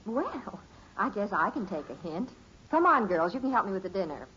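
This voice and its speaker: An actress playing a brisk, affectionate housewife mother in a 1950s radio sitcom. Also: medium pitch, big pitch swings, pushed and bright. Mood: brisk, gentle. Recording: dull, hissy old radio recording.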